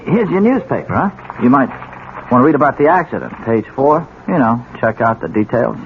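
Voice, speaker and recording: An actor playing a wily police detective sergeant in a 1970s radio drama, halting and casual as he feigns bumbling. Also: medium pitch, animated, phone-quality sound, lightly acted. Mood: slyly probing. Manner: halting, casual, wheedling, full of uhs. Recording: noisy, band-limited old radio recording.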